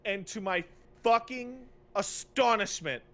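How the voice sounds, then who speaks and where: A man's voice: sits high, slow pace, a bit bright. A man co-hosting a podcast, ranting casually and comedically about games, exasperated and emphatic, stretching words for effect. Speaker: podcast co-host and game streamer.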